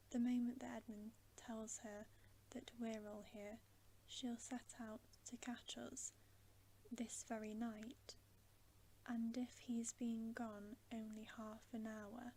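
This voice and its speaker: A woman's voice: a little high, mellow, a little hiss or hum, poor audio. A woman voicing a shrewd, motherly female talking beaver, reasoning carefully in a whispered bedtime story reading. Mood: certain, urgent.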